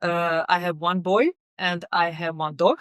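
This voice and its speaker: A middle-aged woman from Serbia speaks English in a relaxed interview. Her voice is smooth and resonant. She pauses often, with fillers and repeated words, and the sound is dry and close to the mic. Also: fairly low pitch, no echo. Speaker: guest, company executive. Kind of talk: casual interview conversation.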